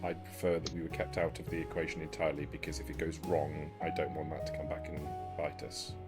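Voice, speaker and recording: Man playing a deep-voiced, formal vampire schemer. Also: flat delivery, over loud music. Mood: calculating, candid. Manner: formal, deliberate, halting. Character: shrewd, self-serving vampire negotiator, formal and measured. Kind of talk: in-character tabletop roleplay dialogue.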